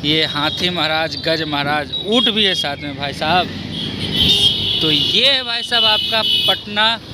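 Young male vlogger, fairly high voice, casual narration over loud traffic. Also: expressive, measured pace. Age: 20s. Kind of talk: casual vlog narration to camera. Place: outdoors on busy city streets, often riding on a motorbike. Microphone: handheld phone. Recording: loud traffic and street noise.

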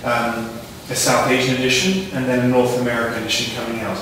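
A man's voice: mid-range voice, flat delivery, quick pace. A man moderating a panel, asking questions in a musing, playful way. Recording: echoey room from far off, noisy.

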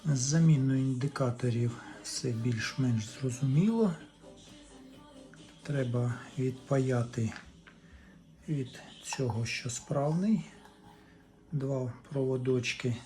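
A man gives casual step-by-step repair commentary as a hobbyist, thinking aloud in an unhurried, tentative way. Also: mid-range voice, dark tone, soft background music. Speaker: hobbyist repairer presenting a DIY video.

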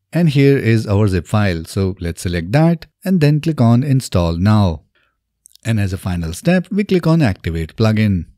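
A man in his thirties narrating a software tutorial step by step, in an instructional way, close to the microphone. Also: lowish voice, no room sound. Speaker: tutorial presenter. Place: small indoor home studio. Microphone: close desk microphone on a boom arm.

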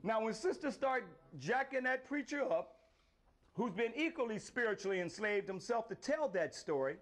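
High-voiced man lecturing outdoors. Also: big pitch swings, moderate pace, fairly bright tone. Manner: questions the group to draw answers. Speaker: lecturer leading a tour. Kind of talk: teaching lecture to a group. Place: outdoors beside an ancient carved temple wall.

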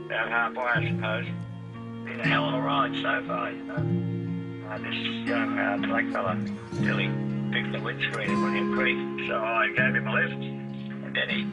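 Gravelly old Australian man. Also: medium pitch, muffled recording. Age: 60s or older. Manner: halting, understated. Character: weary, awkward, regretful old Australian cab driver. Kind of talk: naturalistic film acting. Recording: music laid over the dialogue.

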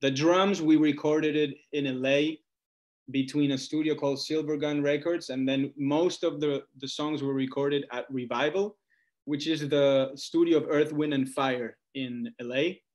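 A man's voice: fairly high pitch, fairly even intonation, a little slow, a little mellow. A man from Argentina, a musician being interviewed, chatting informally and telling his story with plenty of conversational asides. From Argentina, Buenos Aires. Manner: informal, talks in stories, often says "like" and "you know". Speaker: guest musician, singer-songwriter. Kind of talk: casual interview chat.

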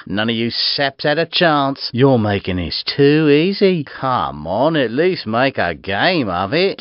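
A man's voice: mid-range voice, no room sound, close to an everyday voice. Man acting a cocky British street racer, muffled game audio. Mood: smug. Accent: British English. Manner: taunting, sardonic, mock-polite menace. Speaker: video game voice actor. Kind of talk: video game character voice clips.

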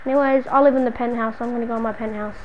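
High-voiced, slangy, exasperated city dweller, acted by a woman. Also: steady tone, very fast, lo-fi sound, a little hiss or hum, lightly acted. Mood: casual muttering. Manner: slangy, muttering to self, then scolding. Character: exasperated city dweller hounded by a stalker friend. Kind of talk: improvised video game roleplay, casual slangy narration.

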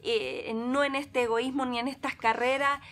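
A woman's voice: high voice, fairly even intonation, moderate pace. A middle-aged woman hosting a talk show, speaking reflectively and bringing in faith. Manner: warm, reflective, speaks of God. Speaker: host. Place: TV studio set, seated on armchair.

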